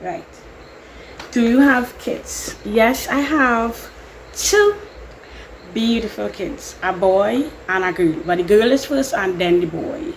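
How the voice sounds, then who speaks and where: A woman's voice: on the high side, lively intonation, slow, poor audio. Woman, Trinidadian accent, young adult, rambling chatty vlog talk, faint hiss behind. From Trinidad and Tobago. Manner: chatty, rambling, laughs often. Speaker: YouTuber. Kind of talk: casual Q&A vlog.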